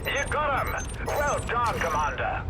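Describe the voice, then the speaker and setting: A woman's voice: on the high side, very animated, measured pace, heavy background noise. A woman playing a spaceship voice assistant and fighter crewmate in a game, giving clipped military callouts. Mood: brisk, alert.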